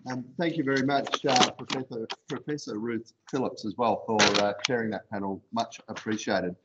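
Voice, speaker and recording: A man's voice: medium pitch, steady tone, moderate pace. A man introducing the next speaker at a conference, hesitant, with many fillers. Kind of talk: event announcements. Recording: dull sound with faint noise.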